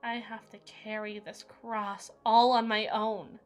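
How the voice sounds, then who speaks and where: A woman's voice: medium pitch, level tone, slow pace, faint music behind, natural voice. A woman playing an unstable, brooding evil queen in an intense, theatrical audio roleplay, speaking in formal, dramatic monologue. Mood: desperate craving. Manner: theatrical, formal, intense, swinging between hushed and forceful.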